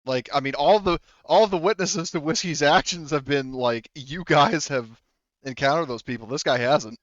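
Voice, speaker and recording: A man working as game master, improvising tabletop game narration in a halting, rambling, informal way. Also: fairly high pitch, a bit bright, no echo, muffled recording.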